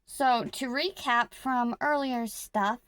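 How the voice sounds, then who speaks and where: A woman vlogging casually about her crochet projects, rambling and correcting herself as she goes, her throat a little rough. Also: fairly high pitch, slow, fairly bright tone, dry recording. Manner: rambling, self-correcting, talks to viewers while working. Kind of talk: casual chatty vlog.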